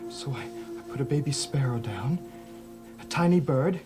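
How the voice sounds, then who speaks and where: Man acting a haunted, halting gothic soul. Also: on the high side, lively intonation, music in the background, close to an everyday voice. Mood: frightened, haunted. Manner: halting, stammering, confessional. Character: haunted, guilt-ridden gentle man of a cursed family. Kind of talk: gothic soap opera acting.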